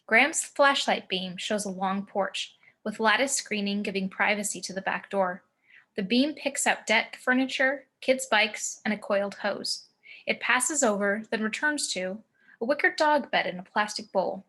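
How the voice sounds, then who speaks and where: Bright, crisp-toned woman reading screenplay narration. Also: medium pitch, normal speed. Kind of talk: scripted narration, screenplay table read.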